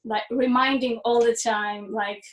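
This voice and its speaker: Young Israeli-born woman, musician guest, slightly high voice, halting and reflective. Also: fairly even intonation. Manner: thoughtful, halting, restarts phrases. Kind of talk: interview answers.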